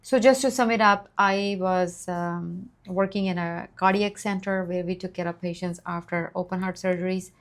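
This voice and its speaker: Low, even-voiced woman from Nepal. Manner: earnest, grateful, measured. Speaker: nurse practitioner guest. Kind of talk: interview answers, life story.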